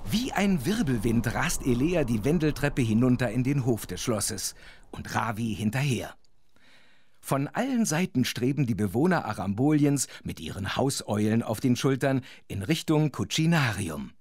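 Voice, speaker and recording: A man's voice: mid-range voice, big pitch swings, moderate pace, a little mellow, slightly rough, no room sound. A man playing a chatty, wondering storyteller who narrates a children's audio drama and comments as he goes. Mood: amused, wondering.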